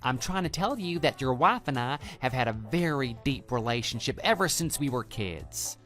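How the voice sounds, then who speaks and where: A man's voice: medium pitch, sing-song, moderate pace, a bit bright, faint music behind. A man playing a smug, brazen lover who talks down to a betrayed husband in an audio drama. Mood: smug, sly. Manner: smug, condescending, dismissive. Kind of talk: scripted texting-story radio drama.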